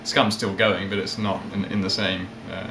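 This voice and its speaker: Low, even-voiced man with a mixed accent. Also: normal speed. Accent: mixed South African, English and Australian. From South Africa, with years in England and Australia. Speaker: interview guest, ukulele player and magazine editor. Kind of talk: casual interview chat. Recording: roomy echo, steady background noise.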